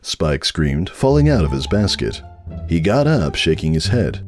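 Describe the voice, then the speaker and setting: A man's voice: very deep voice, big pitch swings, a little slow, over loud music. A man reading a scripted comic story as narrator in a wry, dramatic way. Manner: wry, theatrical. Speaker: narrator, fanfiction reader.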